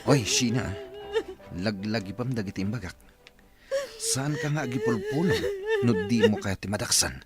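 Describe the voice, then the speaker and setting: An actor playing a menacing, forceful male cousin who preys on a young woman in a radio drama. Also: medium pitch, huge pitch swings, normal speed, pauses often, loud music behind the voice.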